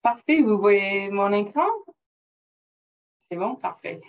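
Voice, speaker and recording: A woman whose first language is English presenting slides in French, careful and halting with non-native phrasing, over a computer mic on a video call. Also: mid-range voice, lively intonation. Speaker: project officer presenting. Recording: dull lo-fi call audio.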